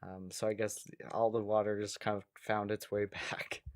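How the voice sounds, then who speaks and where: A man's voice: on the low side, flat delivery, moderate pace, darkish tone, dry recording, clean studio sound. A man co-hosting a comedic podcast, chatting casually and easily amused. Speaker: podcast co-host.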